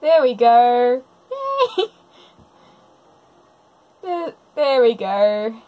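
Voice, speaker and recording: A woman's voice: a little high, expressive, very slow, warm tone, dull audio. A woman giving casual live reaction commentary, gushing over cute moments. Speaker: commentator reacting to an animated series. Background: episode audio and music.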